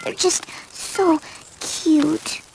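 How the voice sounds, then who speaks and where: A woman voicing a timid, soft-hearted young female pony in a cartoon, sheepish, gentle and hesitant. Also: extremely high pitch, fairly even intonation, very slow, vocal fry, background noise, heavily acted. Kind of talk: animated cartoon acting. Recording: music behind the voice.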